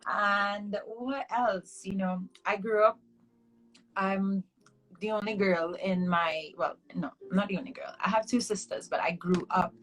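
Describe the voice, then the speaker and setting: A woman's voice: mid-range voice, fairly even intonation, measured pace, a bit bright, rough recording. A woman in her twenties from Trinidad and Tobago with a Trinidadian accent, talking casually as an interview guest, reflective and chatty with frequent ums. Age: 20s. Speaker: interview guest, hair artist.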